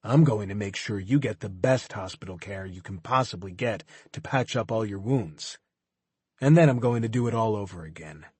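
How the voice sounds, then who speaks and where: A man voicing a calm, threatening male CIA agent who speaks in a controlled, clipped way. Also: lowish voice, normal speed, breathy edge, dry recording. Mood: cold.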